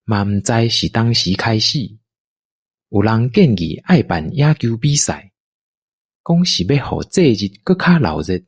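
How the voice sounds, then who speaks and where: Slow, expressive male Taiwanese storyteller, slightly husky. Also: fairly low pitch, no room sound, clean studio sound. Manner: unhurried, expressive storytelling delivery. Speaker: storyteller, narrator. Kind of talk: scripted audio drama narration.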